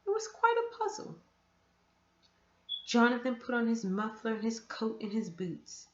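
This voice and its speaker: A woman reads a children's book aloud in a fairly high voice. Her storytelling is animated and swings widely in pitch. The sound is echoey, as if in a bare room. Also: unhurried, lo-fi sound. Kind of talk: expressive children's read-aloud narration.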